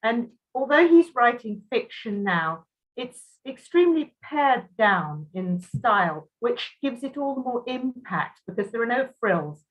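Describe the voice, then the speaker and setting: A woman moderating a literary panel discussion, putting questions in measured, considered phrases. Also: fairly high pitch, animated, poor audio.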